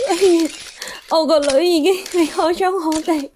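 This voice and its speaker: A very high-pitched, grieving mother of a wealthy family played by a woman speaks in a radio drama. She is formal and emotional, as if addressing the press, with faint noise behind her. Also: steady tone, measured pace, darkish tone. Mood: grieving, resolute. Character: bereaved wealthy mother making a public donation statement.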